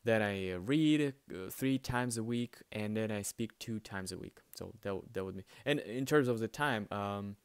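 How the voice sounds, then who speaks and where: A man's voice: on the low side, normal speed, hardly any pauses, smooth, dry recording. A young man, a native Russian speaker with a light Russian accent, answering viewer questions in a casual, hesitant way with many fillers and restarts. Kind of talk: casual spoken Q&A.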